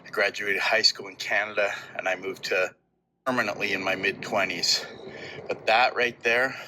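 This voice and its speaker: A man's voice: on the low side, steady tone, moderate pace, background noise. A man from Norway speaking plainly and firmly.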